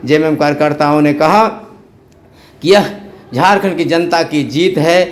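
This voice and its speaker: A man's voice: fairly high pitch, unhurried. A man reading a scripted news report in Hindi as a reporter.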